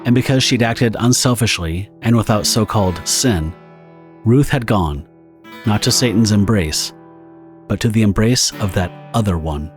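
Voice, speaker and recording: A man's voice: lowish voice, moderate pace, faint music behind. A man narrating a dramatic story from a script.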